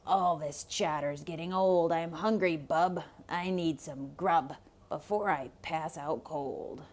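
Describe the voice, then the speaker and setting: Woman putting on a sly, slow, growling storybook wolf. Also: low-pitched, a little mellow, pitched below the performer's own voice. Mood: impatient, greedy. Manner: growling, menacing, coaxing. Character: sly, hungry male wolf, wheedling and greedy. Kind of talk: theatrical children's storybook read-aloud.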